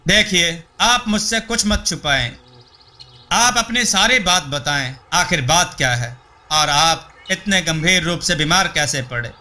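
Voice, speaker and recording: A man's voice: fairly high pitch, lively intonation, measured pace, sharp tone, full character voice. A man voicing an earnest, confident young man in a folk tale, speaking politely and insistently to reassure. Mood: reassuring.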